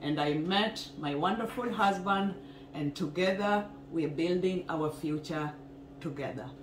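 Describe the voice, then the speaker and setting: A woman's voice: lowish voice, measured pace. A woman in her thirties from Kenya, earnestly telling a personal story to camera in a home kitchen. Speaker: co-host, wellness couple. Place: home kitchen, indoors, small room. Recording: echoey room, faint noise.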